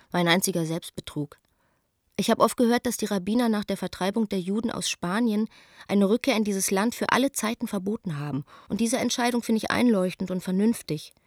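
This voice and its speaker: A woman's voice: mid-range voice, no echo, studio quality, lightly acted. An actress playing a young woman who reads out her anguished letter to a friend in a radio drama, intimate, reflective and bitter.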